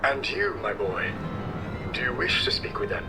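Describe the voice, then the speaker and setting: Bombastic, gravelly ancient robot knight. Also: male voice, mid-range voice, big pitch swings, normal speed, music in the background. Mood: grave, measured. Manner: pompous, archaic, theatrical speech. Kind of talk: video game voice acting.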